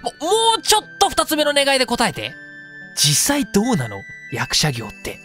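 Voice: high voice, huge pitch swings, sharp tone, faint music behind, exaggerated voice. A performer playing a cheeky, incredulous young man with slangy, exclamatory speech in a comedic voice drama. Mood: sympathetic. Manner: slangy, quick-reacting, exclamatory.

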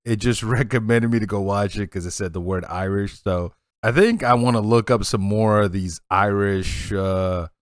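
A man in his forties with a Boston accent, hosting a casual reaction video, laid-back and laughing a lot, recorded on a headset boom mic at home. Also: fairly even intonation, normal speed, dry recording, clean studio sound. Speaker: reaction channel host. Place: home streaming setup, indoors.

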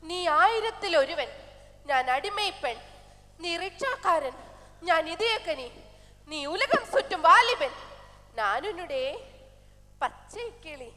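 Woman playing a high, pleading politician. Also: sing-song, slow, pauses often, noisy background, heavily acted. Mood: fawning. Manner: melodramatic, pleading. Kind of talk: stage comedy skit acting. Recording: echoey stage hall with music, distant.

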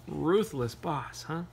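A man reads a children's picture book aloud with a very animated delivery. He takes his time, playfully breaking off to ask questions and make casual asides to young listeners. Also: fairly high pitch, very slow, gravelly, a little hiss or hum. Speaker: read-aloud storyteller.

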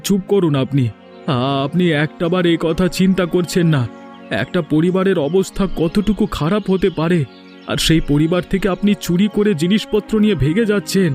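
Cartoon role: righteous village householder, male actor, highish voice, indignant and emphatic. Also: moderate pace, darkish tone, faint music behind, natural voice. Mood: stern. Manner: scolding, emphatic. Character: indignant younger village householder, a husband, stern and scolding. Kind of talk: cartoon voice acting.

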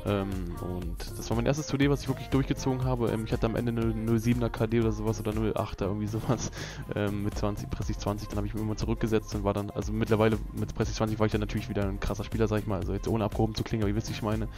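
Young male gaming YouTuber, relaxed and rambling, slightly low voice over a music bed. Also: rapid-fire, few pauses. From Berlin, Germany. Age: young adult. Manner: rambling, laid-back, lots of fillers and laughs. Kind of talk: casual gameplay commentary vlog. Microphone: voice-over over gameplay.

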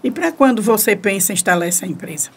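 A woman's voice: mid-range voice, sing-song, quick pace, husky. An actress playing a practical, curious woman friend in a radio soap opera, with a Brazilian accent. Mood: inquisitive.

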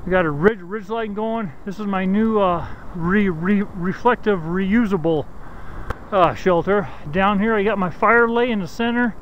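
A middle-aged man vlogging outdoors in snowy woods, talking to a handheld camera in a halting way, often repeating his words. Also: high voice, muffled recording, a little hiss or hum. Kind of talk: casual bushcraft walkthrough. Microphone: handheld camera at arm's length.

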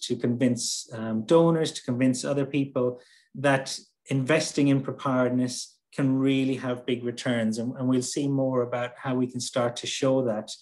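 A man giving a conference presentation over a video call, speaking conversationally with many fillers and restarts. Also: mid-range voice. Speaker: presenter from a humanitarian logistics nonprofit. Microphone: computer mic on a video call.

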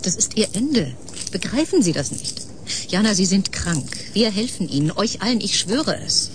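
A woman's voice: medium pitch, very animated, moderate pace, background noise, natural voice. An actress playing an anxious, humane woman doctor in a science-fiction radio drama, pleading and emotional.

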